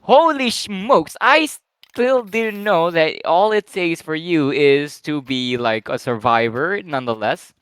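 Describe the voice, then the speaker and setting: Male game caster, high voice, slightly croaky, excitable rambling delivery. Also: slow, no echo, clean studio sound. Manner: excitable, rambling, filler-heavy play-by-play. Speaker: esports caster. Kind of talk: live play-by-play game commentary.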